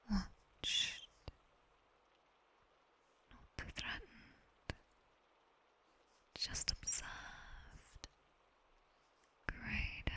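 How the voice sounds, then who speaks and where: Woman as a slow, whispering British demoness tutor. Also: medium pitch, dark tone, full character voice. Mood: commanding, teasing. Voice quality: breathy whisper. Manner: slow, commanding, teasing. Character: sharp-tongued seductive female nightmare demon tutor. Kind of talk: whispered ASMR roleplay, guided body scan. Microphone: close binaural microphone.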